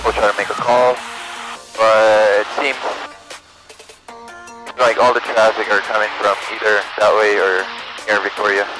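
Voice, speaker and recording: A man's voice: on the low side, low-quality recording. Young man on a cockpit headset. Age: young adult. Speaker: student pilot and vlogger. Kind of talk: casual in-flight narration and radio calls. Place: small propeller plane cockpit in flight. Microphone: aviation headset boom mic. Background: music.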